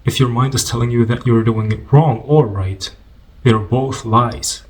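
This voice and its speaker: Man guiding a meditation, mid-pitched voice. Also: steady tone. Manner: unhurried, informal, gently instructing with pauses. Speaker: meditation guide. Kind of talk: guided meditation, conversational spiritual talk.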